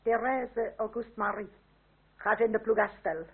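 Female proud, guarded lady of rank, period radio play: husky, creaky, formal, restrained, vintage narrow sound. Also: a little high, steady tone, measured pace, exaggerated voice. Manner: formal, restrained, politely firm. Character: dignified, guarded aristocratic lady under questioning. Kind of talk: period radio drama acting. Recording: old narrow-band radio recording.

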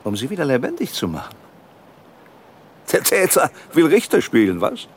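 Ruthless old boss, animated delivery. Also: male voice, on the high side, moderate pace, slight background noise. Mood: agitated, scornful. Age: older. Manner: forceful, rhetorical, self-justifying. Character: ruthless old company boss, defiant and contemptuous. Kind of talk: German radio drama acting.

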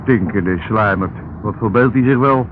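Actor as grumbling docker, muffled recording. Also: male voice, on the low side, normal speed, a loud crowd, lightly acted. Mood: resentful. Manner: slangy, grumbling. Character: grumbling, gossipy male dock worker, streetwise. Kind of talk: Dutch radio play acting. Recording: muffled old radio recording, faint noise.

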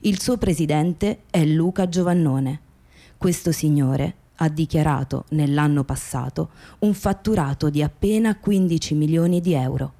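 A woman narrating a scripted radio theatre documentary in a grave, deliberate manner. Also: sits low, mellow.

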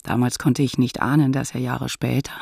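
An actress in a radio drama playing a disguised woman priest who reflectively narrates her life in measured speech. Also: extremely low pitch, flat delivery, vocal fry, darkish tone, slightly rough, dry recording, studio quality, exaggerated voice. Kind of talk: scripted first-person radio drama narration.

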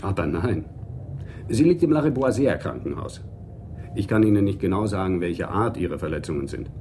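Mellow, matter-of-fact police official. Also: male voice, sits low, very animated, normal speed, a little hiss or hum. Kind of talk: radio drama acting.

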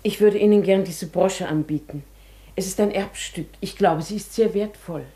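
A woman acting in a German radio play as a genteel, proud lady who is secretly desperate, polite and composed, with a husky voice. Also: mid-range voice, moderate pace, dark tone, lightly acted. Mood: polite, strained. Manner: polite, composed, confiding when talking to herself.

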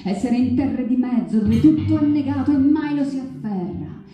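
A woman's voice: sits high, animated, mellow. A woman playing a defiant, anguished Antigone in an incantatory, emphatic theatrical monologue. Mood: grim, accusing. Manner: incantatory, slow, emphatic, with repeated phrases. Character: defiant, anguished young Antigone rebelling against power. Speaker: stage actress and playwright. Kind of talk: poetic theatrical monologue over live guitar. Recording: very echoey, reverberant live performance with guitar.

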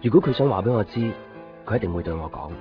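A man acting an earnest, curious young boyfriend in a Hong Kong Cantonese radio drama, soft-spoken and hesitant. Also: fairly low pitch, lively intonation, fast talker, natural voice. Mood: pensive. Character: earnest, curious young boyfriend, unsure about his secretive girlfriend. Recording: muffled 1990s radio drama, background music.

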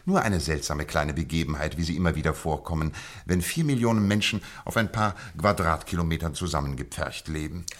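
A calm, deep-voiced master detective. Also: male voice, fairly quick. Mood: amused. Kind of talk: 1960s German radio play acting.

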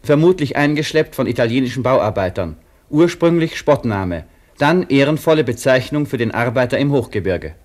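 An actor as a radio play announcer, narrating scripted documentary-like text in a formal, declarative way. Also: male voice, medium pitch, expressive, normal speed, darkish tone, lightly acted.

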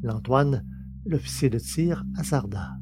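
A man's voice: medium pitch, lively intonation, unhurried. A man reading a science-fiction novel as a scripted, dramatic audiobook narration. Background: music.

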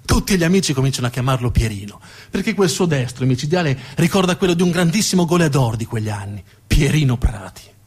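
A man's voice: on the high side, expressive, quick pace, a little mellow, slightly rough. A man performing a theatrical narrative monologue, dramatic and emphatic, building on repeated phrases. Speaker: actor and monologue storyteller.

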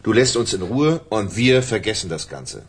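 An actor playing a tough, sardonic man who stands his ground, in a radio crime drama, with a husky voice. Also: lowish voice, sing-song, normal speed. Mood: threatening.

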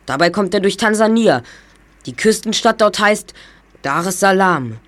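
A woman's voice: medium pitch, expressive, moderate pace, close to an everyday voice. A woman voicing a clever, self-assured young boy detective in a German audio drama, explaining things precisely. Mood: confident, deducing.

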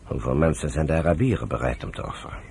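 An actor playing a sober, devout British general in a Dutch radio drama, speaking in a measured, earnest and emphatic way. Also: male voice, very deep voice, darkish tone, a little hiss or hum. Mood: grave, emphatic.

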